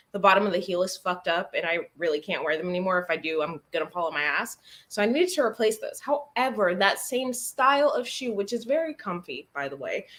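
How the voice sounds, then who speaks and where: A young woman with a very bright, forward voice at an average pitch. She tells everyday stories in an animated, casual way and drops swear words easily. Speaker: guest on a group reading livestream. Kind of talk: casual chat.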